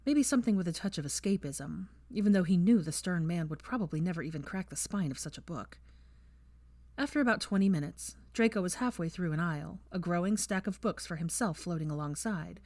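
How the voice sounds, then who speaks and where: A woman narrating a fan fiction audiobook in an even, measured storytelling manner. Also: lowish voice, no room sound. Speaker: audiobook reader.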